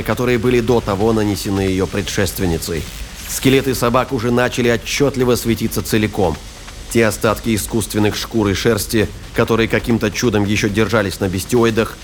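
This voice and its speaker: A man reading scripted fiction narration in a measured, literary storytelling manner. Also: on the low side, a little hiss or hum.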